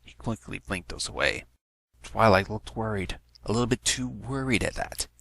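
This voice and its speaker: A man's voice: lowish voice, normal speed, gravelly, bright, forceful tone, no room sound. A man reading fan fiction aloud as a scripted story narration.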